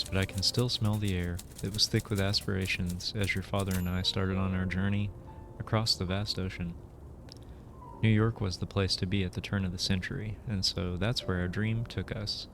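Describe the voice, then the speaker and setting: A man's voice: low-pitched, little pitch movement, moderate pace, slight background noise. A man voicing a reminiscing immigrant parent from a publishing family, reading out a game's story. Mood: wistful, proud.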